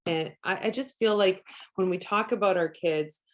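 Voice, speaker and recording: A woman's voice: mid-range voice, normal speed. A woman from Canada whose first language is Polish, talking earnestly in a casual conversation and thinking aloud with many restarts.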